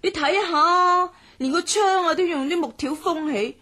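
A woman playing an outspoken, loyal young student in a radio drama, expressive and exclamatory. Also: extremely high pitch, normal speed. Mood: urging.

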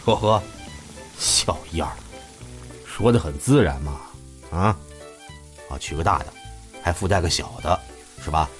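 A man acts out a middle-aged dad in a modern audio drama, with a low-pitched voice. He is blunt and gruff, scolding in jest and teasing, yet fond underneath. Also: expressive, a little slow, pauses often, faint music behind.

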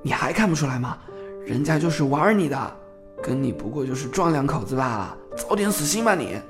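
Casting: male actor as playful, stubborn young guy for radio drama, notably high voice, music bed. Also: sing-song, fairly quick. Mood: bitter, self-mocking. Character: playful, stubborn young man, orphan, sometimes drunk. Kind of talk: audio drama acting.

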